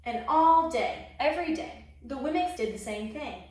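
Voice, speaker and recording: A woman reading a children's story aloud expressively, pausing to put questions to young listeners. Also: high-pitched, lively intonation, darkish tone. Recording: very echoey room, distant microphone.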